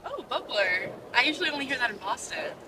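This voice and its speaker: A woman's voice: on the high side, big pitch swings, fast. A woman playing a skeptical, easily exasperated young woman on a date in a comedy sketch. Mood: awkward, friendly. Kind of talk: acted comedy sketch dialogue. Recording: noisy outdoor location sound.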